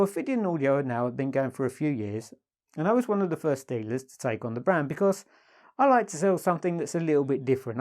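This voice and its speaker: A man's voice: mid-range voice, a little mellow. A middle-aged British man giving an informal product presentation as a dealer, enthusiastic and emphatic, often repeating his superlatives.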